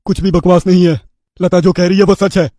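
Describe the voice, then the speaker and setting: A man's voice: high-pitched, flat delivery, fairly quick, dark tone, no echo, studio quality, close to an everyday voice. A man voicing an irritable, blunt married elder brother who complains in a family story. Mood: frank.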